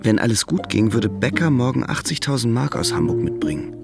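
An actor playing the sober third-person narrator of a radio drama, reading scripted storytelling. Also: male voice, medium pitch, sing-song, a little fast, gravelly, over loud music. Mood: sober, narrating.

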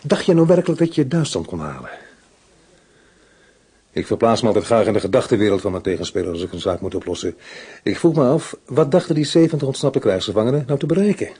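An actor playing a shrewd, calm male police inspector who questions in a probing, measured, dry way, in a radio play. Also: mid-range voice, breathy edge.

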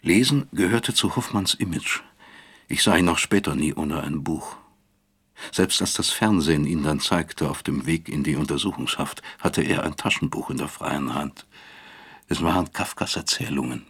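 Radio drama narrator: self-accusing, weary professor, rock-bottom register, husky and creaky, measured reflective delivery. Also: male voice, very deep voice, fairly bright tone, heavily acted. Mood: sober reflection. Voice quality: gravelly, husky. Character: weary, self-accusing professor of medicine recalling his East German past.

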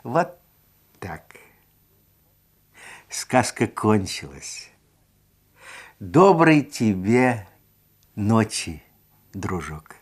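Female storyteller for children's audio tales, slightly husky, wide swings of pitch, gentle and expressive. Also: drawn-out words, pauses often. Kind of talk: children's fairy-tale narration.

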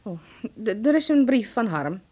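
A woman's voice: a little high, big pitch swings, moderate pace, warm tone. An actress playing an anxious, doting middle-aged mother, worried and tender, in a radio drama.

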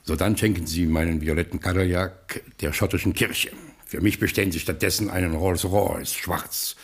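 A man playing a reformed American gangster boss turned solemn gentleman in a German radio play, decisive and imperious. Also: low-pitched, steady tone, moderate pace, husky. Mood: brisk, imperious.

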